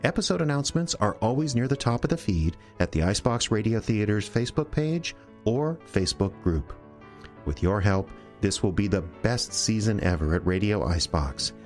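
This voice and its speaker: A man's voice: fairly low pitch, normal speed, faint music behind. Earnest male radio drama announcer. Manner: earnest, steady, appealing. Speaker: show host and announcer. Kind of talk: scripted announcement.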